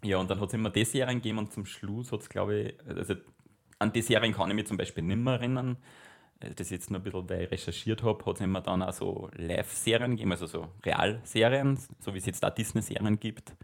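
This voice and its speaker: A young man co-hosting a casual podcast, chatty and quick to laugh. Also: fairly low pitch, vocal fry. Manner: chatty, hums cartoon theme tunes, laughs easily. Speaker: podcast co-host. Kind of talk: casual chat.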